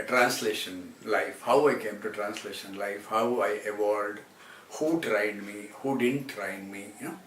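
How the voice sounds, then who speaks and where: A man from Karnataka with a South Indian accent and Telugu as his first language, rambling to viewers about his own life in a halting way with repeated phrases. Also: lowish voice, pushed and bright. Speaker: translator and former film actor. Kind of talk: rambling autobiographical talk to viewers. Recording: roomy, distant mic, faint background noise.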